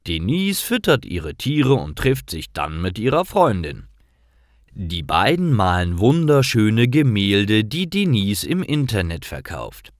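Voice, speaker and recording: Male narrator, low voice, slow careful pacing, very varied intonation, dry recording, learner storytelling. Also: wildly swooping pitch, no echo. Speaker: narrator of language-learning stories.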